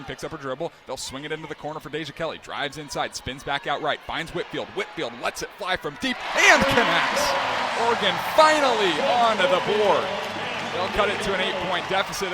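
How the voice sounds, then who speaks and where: A young man doing live play-by-play, with a quick, crisp call that climbs into excited shouts on big plays. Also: on the high side. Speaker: play-by-play announcer. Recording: broadcast with loud arena crowd and noise.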